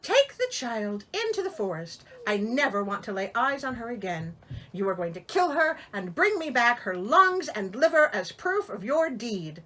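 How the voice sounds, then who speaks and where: Storybook evil queen read by a woman: imperious, envious, declamatory, bright and forceful. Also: on the high side, very animated, normal speed, full character voice, low-quality recording. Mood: cold command. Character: proud, envious, cruel fairy-tale queen.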